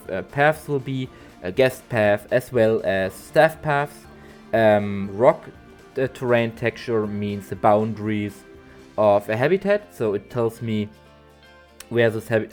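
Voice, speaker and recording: A man, a bit nasal from allergies, doing a halting voiceover for a game build video with lots of ums and restarts. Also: lowish voice. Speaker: zoo-building game creator. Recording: soft guitar music behind.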